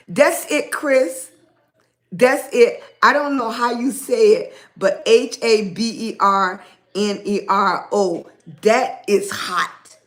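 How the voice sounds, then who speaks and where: Slow-talking raspy woman. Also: fairly high pitch. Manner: greets viewers by name, rambling and animated. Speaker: livestream host. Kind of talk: casual livestream chat with viewers. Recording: echoey room.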